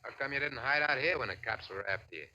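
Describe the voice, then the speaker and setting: A man playing a greedy, suspicious gunman in a 1940s radio drama, with gruff, pushy, clipped talk. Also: mid-range voice, quick pace, pushed and bright, highs cut off, a little hiss or hum. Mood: wary, menacing. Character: greedy, suspicious, threatening male crook with a gun.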